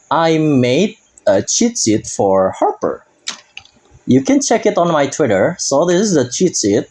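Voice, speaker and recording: A man runs through a game update in a relaxed, unhurried way. His English is halting, and he stops often to search for the right word. His voice sits in a mid range. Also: mid-range voice. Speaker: gaming YouTuber. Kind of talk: casual game update commentary.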